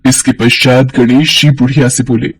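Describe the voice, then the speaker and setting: A man's voice: mid-range voice, fairly even intonation, moderate pace, slightly rough. A man narrating a scripted cartoon folk tale as a storyteller.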